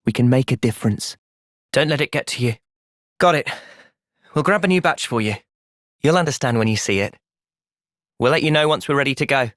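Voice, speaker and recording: A man playing an earnest, gentle, resolute young soldier in video game cutscenes. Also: mid-range voice, lively intonation, a little fast, pauses often, no room sound, studio quality, natural voice. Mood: calm. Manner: earnest, thoughtful. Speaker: voice actor.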